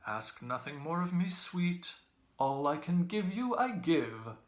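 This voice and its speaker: A man reading a novel aloud as a loud, mocking, theatrical young Irish medical student who booms and declaims. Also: animated, slow pace, roomy sound. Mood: mock-tender, theatrical. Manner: booming, declamatory, teasing. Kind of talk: literary audiobook character reading.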